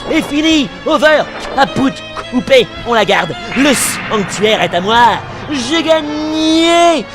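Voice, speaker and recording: A man's voice: extremely high pitch, animated, over music, exaggerated voice, rough recording. A man voicing a megalomaniac villain ruler in an anime parody dub, with a gloating, grandiose delivery. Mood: gloating, triumphant. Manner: grandiose, drawn-out, cackling. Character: gloating, megalomaniac male pope villain of a sanctuary.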